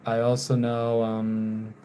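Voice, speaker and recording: A young man from North Carolina talks to his live stream viewers in an easy, unhurried way. His voice sits at an ordinary pitch. His sentences tend to fade softly at the end, and he keeps apologizing for getting names wrong. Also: monotone, a little hiss or hum. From North Carolina, USA. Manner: slow, trailing off quietly, apologetic about names. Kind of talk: casual live stream chat while drawing.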